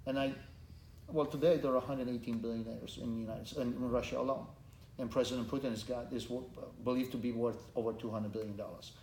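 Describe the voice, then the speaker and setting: A middle-aged man from Romania, a candidate for Congress, answering interview questions earnestly with many halting restarts. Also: medium pitch, fairly even intonation, darkish tone. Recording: echoey room, faint background noise.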